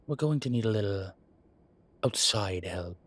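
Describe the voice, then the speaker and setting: Man playing a deep, husky, proud incubus demon. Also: expressive, a little slow, fairly bright tone. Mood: guarded, reluctant. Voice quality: low, husky voice. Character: proud sardonic male incubus demon professor, guarded yet playful. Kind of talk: roleplay audio drama, speaking to an unseen listener.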